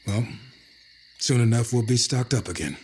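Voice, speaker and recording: An actor playing a composed, self-assured country healer in an audio drama. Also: male voice, mid-range voice, moderate pace, a little mellow, a little hiss or hum, lightly acted. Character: calm, assured country healer with secret powers.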